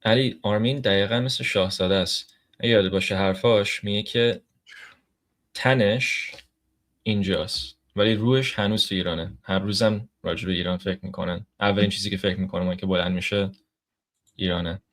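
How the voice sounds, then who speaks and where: A man's voice: sits low, steady tone, very fast, a bit bright. A man with an American accent, co-hosting and chatting casually, telling anecdotes informally and acting out quoted remarks. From United States. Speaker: co-host.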